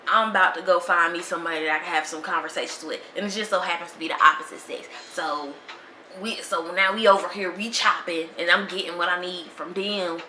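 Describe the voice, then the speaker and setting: A woman's voice: fairly low pitch, steady tone, a little fast, pushed and bright. A woman, talking casually and with animation as a podcast host in a slangy monologue. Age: 20s. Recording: echoey room with faint noise.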